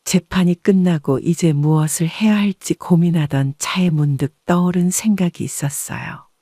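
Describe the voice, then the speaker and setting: A woman reading a first-person story as an audiobook narrator in a measured, composed way. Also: on the low side, mellow, dry recording, studio quality.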